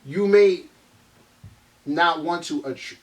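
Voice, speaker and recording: A man's voice: a little high, slow, far from the mic, low-quality recording. A man co-hosting a casual group discussion, often breaking in with short agreements. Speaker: podcast co-host.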